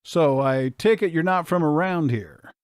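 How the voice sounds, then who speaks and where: A man's voice: on the high side, measured pace, clean tone, no echo, studio quality, pitched above the performer's own voice, lightly acted. A man reading as a playful small-town charmer. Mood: curious. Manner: teasing, easygoing.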